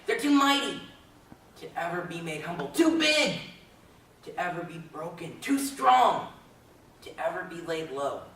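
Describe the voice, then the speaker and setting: Casting: man playing a humble, fervent circus clown, high male voice, stage drama, strong echo. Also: a bit bright, exaggerated voice. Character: admiring, downtrodden circus clown praising elephants. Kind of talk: theatrical monologue, readers theater. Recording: echoey stage, distant, faint noise.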